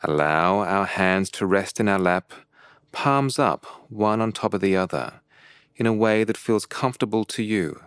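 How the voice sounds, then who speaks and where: Slow, low-voiced man guiding meditation. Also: clean tone, dry recording, studio quality. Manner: calm, measured, deliberate phrasing with pauses. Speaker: meditation teacher. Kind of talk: guided meditation instruction.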